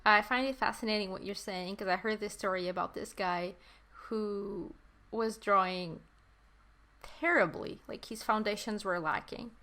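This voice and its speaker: A woman's voice: medium pitch, moderate pace, pushed and bright. A woman whose first language is Portuguese, hosting a casual podcast chat and eagerly agreeing with her guest. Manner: enthusiastic and agreeing, often affirms the guest. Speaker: podcast host. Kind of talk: casual conversation.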